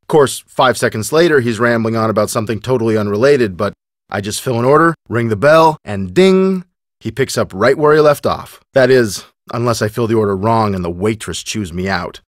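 An actor playing an eager, level-headed young American detective in a video game, talking in an earnest, teasing, conversational way. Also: male voice, lowish voice, animated, brisk, no room sound, clean studio sound, lightly acted. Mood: amused, chatty. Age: young adult.

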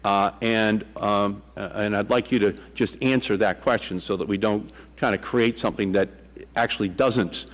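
A committee chairman in his sixties with a Boston accent questions witnesses at a desk microphone. His voice sits low and he stumbles through fillers and restarts. The large hearing room adds a long reverb. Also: fairly even intonation. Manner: halting, many fillers and restarts.

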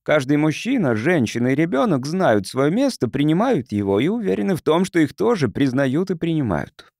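A man reading as a proud, guarded male councillor in a science fiction audiobook. He explains things formally, with widely moving pitch, in a dry recording. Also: very animated, moderate pace, creaky, a little mellow, no echo. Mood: stiff, earnest.

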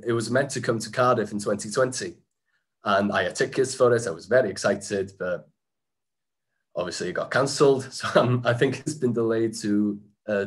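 A man with a slightly low voice presents slides from a small room, heard through a webcam. He talks in a loose, chatty way, restarting sentences and filling pauses as he goes. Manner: chatty, frequent restarts and fillers, occasional laughs. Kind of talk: informal slide-talk presentation. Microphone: webcam on a video call.

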